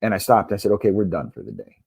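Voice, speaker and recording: A man's voice: deep voice, sing-song, fast talker, creaky, darkish tone, breathy edge, dry recording, studio quality. An American man speaking as a guest coach in an interview, telling stories in an earnest, explanatory way. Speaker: guest, basketball coach and author. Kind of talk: conversational interview, telling anecdotes.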